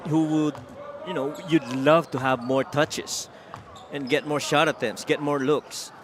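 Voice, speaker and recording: A man's voice: a little high, fairly even intonation, unhurried, a crowd in the background. A man with a Filipino accent giving explanatory live basketball analysis, moving between English and Tagalog. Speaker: color commentator, game analyst.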